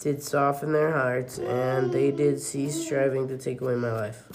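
A young reader reading scripture aloud haltingly, stumbling over words and correcting them. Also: mid-range voice, fairly even intonation, a crowd in the background. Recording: noisy home recording.

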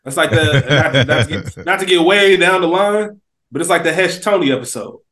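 A man's voice: on the high side, a little fast. A young man co-hosting a podcast, chatting casually and animatedly, acting out what characters are thinking as he retells a scene. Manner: animated storyteller, acts out characters' thoughts. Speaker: podcast co-host. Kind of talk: casual chat.

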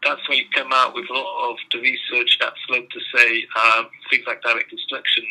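A man's voice: medium pitch, level tone, moderate pace, sharp tone, husky, low-quality recording. A middle-aged man from Belgium with a Belgian accent, answering interview questions in a hesitant way with many ums. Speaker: guest researcher.

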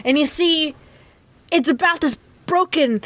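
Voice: high voice, a little slow, fairly bright tone, no room sound. A performer playing a small, vain male action star, self-important and earnestly confiding, in improvised comedy. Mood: intrigued.

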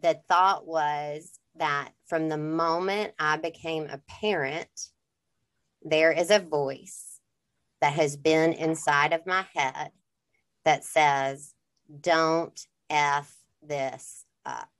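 Low, slow-talking woman in earnest chat. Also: steady tone, a bit bright. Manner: reflective, earnest, candid. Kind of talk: casual conversation.

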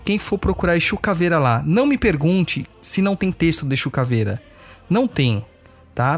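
A man with a Brazilian Portuguese accent hosting a podcast, chatting informally with his nose stuffed up from a cold. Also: on the high side. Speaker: podcast host. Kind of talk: casual podcast update.

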